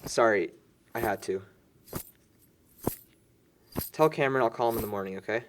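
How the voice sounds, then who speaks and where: A man's voice: on the low side, quick pace, background noise, lightly acted. A man acting as a blunt, casual young party guest who is soon to be a father, in film dialogue.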